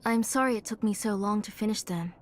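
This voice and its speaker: An actress voicing a soft-spoken, caring mother in a game, earnest and gentle. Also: mid-range voice, brisk, lightly acted. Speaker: mother and name engraver.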